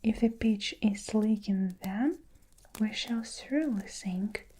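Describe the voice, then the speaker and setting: A woman's voice: medium pitch, slow pace, warm tone, breathy edge. A woman whispering a fretful blind earthworm. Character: timid gloomy blind earthworm, storybook creature.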